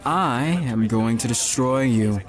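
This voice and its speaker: A man's voice: mid-range voice, slow pace, faint music behind, lightly acted. A man playing an arrogant, indignant young law student, melodramatic and petulant, in an audio comedy drama. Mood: menacing.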